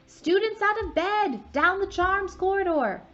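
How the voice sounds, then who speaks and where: A woman's voice: on the high side, very animated, a little slow, a bit bright, muffled recording, soft background music, voice pushed up, full character voice. A woman voicing a mischievous male poltergeist who taunts in a sing-song way. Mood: bellowing.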